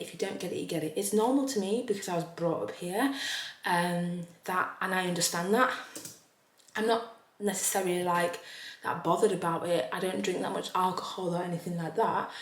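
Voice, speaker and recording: A young woman talks her way through a makeup vlog, rambling and animated. Her voice sits a little lower than most women's, and the room around her gives it an echo. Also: fairly quick. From Leeds, northern England. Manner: rambling and animated, lots of fillers, exclaims in disbelief. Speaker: vlogger. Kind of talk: casual chatty vlog while doing makeup.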